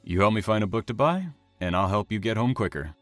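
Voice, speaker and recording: An actor playing a polite, reserved, slightly awkward man with formal, measured speech in an audio drama. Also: lowish voice, animated, no echo, soft background music, natural voice. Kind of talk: naturalistic audio drama acting.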